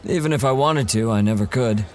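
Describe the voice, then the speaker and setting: An actor playing a wry, self-assured monster hunter in an audio drama, calm and measured. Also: male voice, medium pitch, a little hiss or hum, natural voice.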